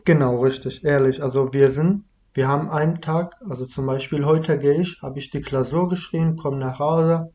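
A man's voice: fairly high pitch, fairly even intonation, moderate pace, hardly any pauses, mellow, lo-fi sound. Young man. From Iraq. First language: Kurdish. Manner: hesitant, many restarts and fillers. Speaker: interviewee. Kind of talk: casual conversation.

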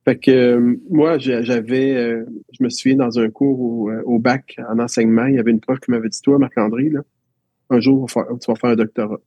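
A man in his thirties with a Quebec French accent tells stories from his school years in a relaxed, reflective way, often circling back and restarting his sentences. His voice is mellow and slightly husky. It sounds close and dry, with no room echo. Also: medium pitch, fairly quick, highs cut off. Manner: reflective, storytelling with many restarts. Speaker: teacher and interview guest. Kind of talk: casual interview conversation.

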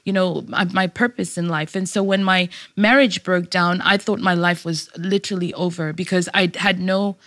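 Reflective low-voiced woman in her forties. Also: steady tone, moderate pace, few pauses, a bit bright, no room sound. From Zimbabwe. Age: 40s. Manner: reflective, many "you know" fillers. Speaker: guest speaker. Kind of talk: personal testimony.

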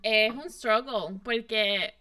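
A woman's voice: fairly high pitch, slow pace, pushed and bright, clean tone. A woman co-hosting a podcast, chatty and laughing easily as she switches between Spanish and English. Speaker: podcast co-host. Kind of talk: casual podcast chat.

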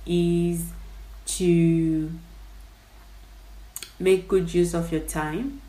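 A young woman from Congo whose English carries a French tinge talks through her podcast plans, thinking aloud. Her voice sits fairly low. The sound is roomy, with a clear echo. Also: little pitch movement, extremely slow, dark tone. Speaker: podcast host. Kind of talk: casual behind-the-scenes monologue.

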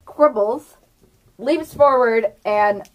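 A woman narrating a tabletop game as game master, casually improvising in an animated, playful storytelling manner. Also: a little high, drawn-out words, highs cut off, a little hiss or hum.

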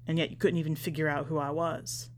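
A woman voicing a bitter, skeptical son in a story, terse and accusing in a low-key way. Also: level tone, fast talker, lightly acted. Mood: bitter accusation. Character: bitter, accusing son of a dead man, confronting preacher.

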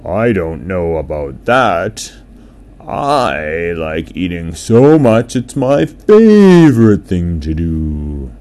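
A man voicing a big, eager, food-loving orca in a children's story, stretching his words slowly and emphatically. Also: sits low, sing-song, warm tone, full character voice.

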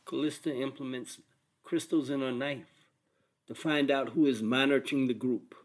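A man's voice: mid-range voice, steady tone, normal speed. A man reading scripted narration for an audio drama.

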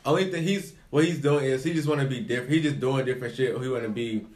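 A man chats as a podcast co-host in a medium-pitched voice. He is blunt and opinionated, loose with slang and swearing, and the room adds a noticeable echo. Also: fast talker, darkish tone. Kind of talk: casual gossip chat, slangy and profane. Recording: roomy, echoey podcast recording.

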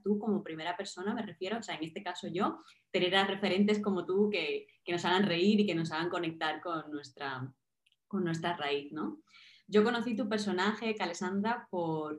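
A woman's voice: medium pitch, a little mellow. Female interviewer with a faint Cuban accent, rambling questions, roomy echo. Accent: slight Cuban. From Cuba. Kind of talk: casual interview talk.

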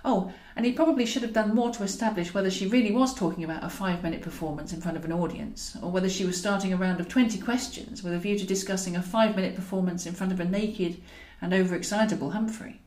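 A woman reading a comic romantic novel aloud in an expressive storytelling style, as the author of the book. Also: medium pitch, fast, hardly any pauses, echoey room. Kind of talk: expressive audiobook narration of comic romantic fiction.

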